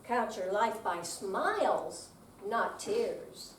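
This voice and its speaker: A woman's voice: medium pitch, big pitch swings, extremely slow. An older woman reading aloud dramatically as an amateur readers theater performer in a senior center room. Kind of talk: slow dramatic reading on stage. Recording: distant, echoey room with faint noise.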